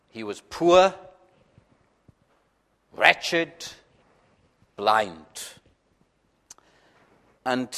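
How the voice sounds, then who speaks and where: A man whose first language is Welsh, preaching a sermon in a church in a slow, earnest way with frequent hesitations. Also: medium pitch, fairly bright tone.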